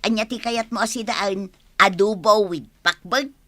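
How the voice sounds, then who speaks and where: A woman acting a chatty, eager neighbourhood lunch seller in an Ilocano radio comedy drama, animated and exclaiming. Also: medium pitch, normal speed, no echo, natural voice. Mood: businesslike, cheerful.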